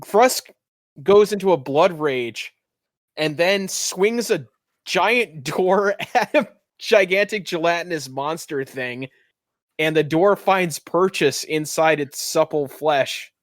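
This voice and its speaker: A man's voice: fairly high pitch, lively intonation, slow, dry recording, studio quality. A man casually narrating and making rulings as game master of a tabletop game.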